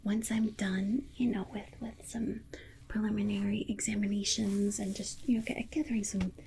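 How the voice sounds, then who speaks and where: A woman's voice: medium pitch, level tone, moderate pace, slight background noise, natural voice. A woman playing a nervous, chatty alien scientist in an ASMR roleplay, speaking in a hesitant, stammering whisper and awkwardly reassuring. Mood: gently reassuring. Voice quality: breathy whisper with creaky soft voice. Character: nervous, chatty, well-meaning alien scientist abducting a human. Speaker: ASMR performer.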